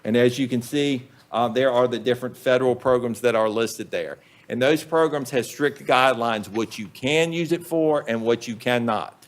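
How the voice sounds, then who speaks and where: A man, a school superintendent, presenting budget figures to a county board in a plain, measured way with frequent ums. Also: medium pitch. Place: public meeting room.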